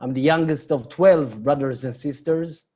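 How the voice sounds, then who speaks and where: A man's voice: a little high, moderate pace, mellow, breathy edge. A man in his forties from Israel with an Israeli accent, giving a personal lecture with slides over an online call. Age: 40s. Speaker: guest lecturer. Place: online webinar from Beersheba. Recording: slightly dull online call audio.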